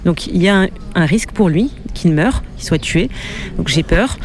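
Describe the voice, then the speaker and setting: A woman journalist answering interview questions in a measured, thoughtful way, often pausing to hesitate. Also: fairly low pitch, expressive, slight background noise.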